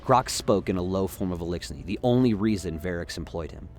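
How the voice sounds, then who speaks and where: Low-voiced male narrator over soft music. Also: a little fast. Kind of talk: scripted dramatic story narration.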